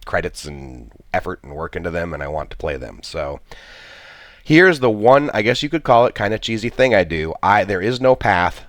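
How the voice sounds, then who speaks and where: A man's voice: deep voice, big pitch swings, moderate pace, a bit bright, no echo, clean studio sound. A man with a stuffy cold, commenting on a strategy game he plays, thinking aloud with many restarts. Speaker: gameplay commentator.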